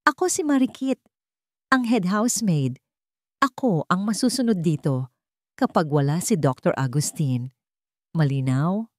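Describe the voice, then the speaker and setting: A woman's voice: on the low side, expressive, unhurried, pauses often, no echo, clean studio sound. A woman voicing a cold, bossy head housemaid in her fifties in a story, curt and commanding.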